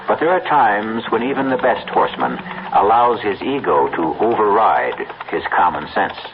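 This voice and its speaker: A man narrating a radio drama in a measured, portentous scripted style. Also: fairly low pitch, big pitch swings. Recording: muffled, noisy old radio recording.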